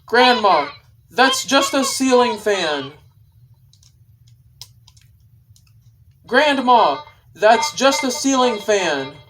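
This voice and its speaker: A man voicing an exclaiming meme character who calls out to a grandma in loud, drawn-out shouts. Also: extremely high pitch, slight background noise, voice pushed up, heavily acted. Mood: exclaiming, insistent.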